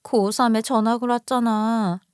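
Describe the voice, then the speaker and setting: A woman's voice: fairly high pitch, normal speed, clean tone, dry recording, studio quality, close to an everyday voice. Woman playing a teasing schoolgirl classmate. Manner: teasing, excitable. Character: teasing Seoul high school girl classmate. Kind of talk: radio story dialogue.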